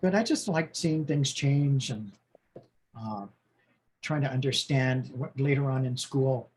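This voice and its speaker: A man's voice: medium pitch, unhurried, a little hiss or hum. A man in his sixties, a podcast guest from the United States, telling long rambling stories about his schooling in a casual chat. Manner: rambling, warm storytelling with laughs and restarts. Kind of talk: casual interview conversation.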